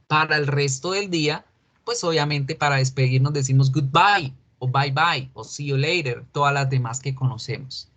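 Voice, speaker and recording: A man speaking as an English teacher, explaining a recorded lesson patiently and repeating key words slowly. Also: on the high side, a bit bright. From Colombia.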